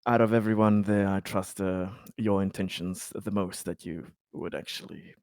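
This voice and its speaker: Injured sci-fi colonist, male roleplayer: sardonic, suspicious, halting delivery, profane, dry sound. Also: deep voice, fairly even intonation, gravelly, darkish tone, no echo. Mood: guarded, halting. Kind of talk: in-character tabletop roleplay.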